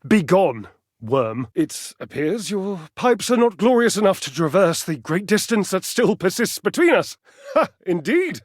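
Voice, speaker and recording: A flamboyant male fitness fanatic from a video game, vain and grandly melodramatic. His voice sits a little high and leaps about with great animation. He teases affectionately, lavishing pet names and relishing every florid phrase. The sound is close and dry. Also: very animated, unhurried, dry recording, full character voice. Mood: haughty, grandiose. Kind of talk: theatrical video game character acting.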